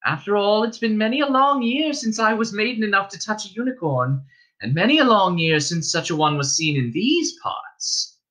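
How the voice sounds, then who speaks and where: A man's voice: high voice, expressive, moderate pace, sharp tone, voice pushed up, rough recording. A man voicing a friendly, motherly old innkeeper's wife who talks in a chatty, coaxing, folksy way.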